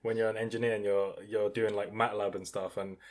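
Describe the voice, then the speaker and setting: A young man, an engineering student, chatting candidly and telling animated stories with casual swearing. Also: lowish voice, a little fast. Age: young adult. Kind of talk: casual podcast chat.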